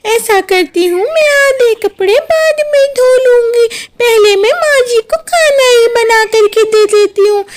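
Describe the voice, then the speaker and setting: A woman's voice: very high-pitched, background noise. A woman voicing a meek, dutiful young daughter-in-law bird in a polite, plaintive cartoon voice.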